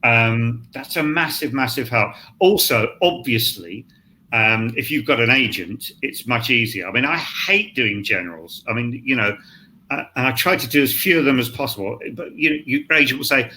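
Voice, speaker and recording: Guy on a video call panel, rambly and blunt, really bright sharp voice, bit of hiss behind. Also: medium pitch, lively intonation, moderate pace. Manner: rambling, restarts phrases, blunt and swearing. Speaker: scriptwriter guest.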